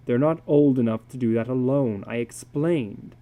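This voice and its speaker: A man's voice: medium pitch, normal speed, warm tone, natural voice. A man reading the part of a stern, troubled father who is frustrated with his children. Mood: grim.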